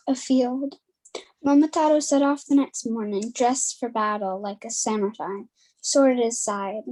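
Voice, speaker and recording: A woman reads scripted folktale narration. Her voice sits high, with a mellow, rounded tone, setting each scene for the listener. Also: poor audio.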